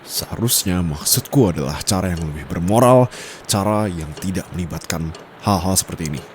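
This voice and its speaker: A stern young male tutor played by a man with an Indonesian accent and a deep, low voice. He speaks firmly and formally in an intimate ASMR roleplay style, slipping into English phrases with a dominant edge. Faint noise sits behind the voice. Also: brisk, a little mellow, lightly acted. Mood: exasperated scolding. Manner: firm, scolding, formal, slips into English phrases. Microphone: close condenser microphone.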